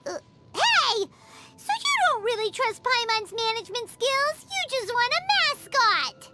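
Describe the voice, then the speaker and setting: A woman voicing a tiny, excitable, childlike fairy companion in a video game, squeaky, exclamatory and quick to get indignant. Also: heavy background noise, full character voice. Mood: indignant, bewildered. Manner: exclamatory, refers to self in third person. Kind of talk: animated video game voice acting. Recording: loud music under the voice. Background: loud background music.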